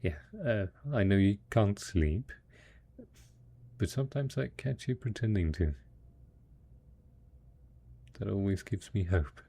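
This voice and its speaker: A man performs a devoted male survivor in an intimate post-apocalyptic audio drama. The voice is low and husky with a gravelly rasp. He speaks slowly and tenderly, coaxing a beloved spouse with stubborn hope. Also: expressive, mellow, exaggerated voice. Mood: curious, hopeful. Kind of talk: intimate audio roleplay acting.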